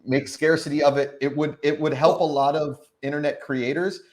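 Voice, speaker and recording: A man's voice: fairly high pitch, steady tone, normal speed. A man hosting a live talk show in an informal, joking way. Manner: jokey, informal. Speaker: show host.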